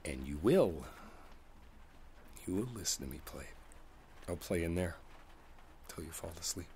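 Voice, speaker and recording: A man playing a gentle, reassuring boyfriend in an intimate ASMR roleplay, speaking softly and without hurry. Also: low voice. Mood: tender, reassuring. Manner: soft, unhurried, soothing. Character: gentle, reassuring musician boyfriend coaxing partner to sleep. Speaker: roleplay audio performer. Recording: steady background noise, rain sounds. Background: rain ambience.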